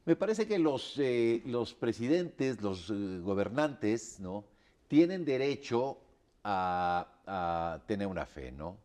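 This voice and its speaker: A man giving political analysis on a panel, speaking deliberately and often tagging his points with a questioning "right?". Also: on the low side, lively intonation, darkish tone. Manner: deliberate, repeats "me parece" and tags "¿no?". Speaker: panel commentator.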